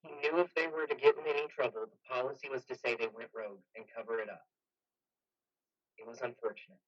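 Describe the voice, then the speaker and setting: A man's voice: medium pitch, fairly even intonation, fast talker, lightly acted. Man playing a guarded army major, slightly muffled. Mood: defensive. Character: guarded army major, defensive, patronizing, dutiful. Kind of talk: play reading, modern drama.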